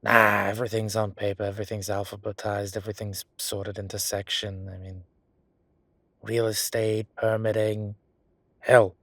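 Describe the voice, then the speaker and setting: A man plays a male records clerk in an audio roleplay, his voice on the low side. He is defensive and chatty, a lazy bureaucrat who rambles in everyday slang. The sound is close and dry. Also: flat delivery, normal speed, a bit bright, no echo. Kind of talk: solo audio roleplay, conversational acting.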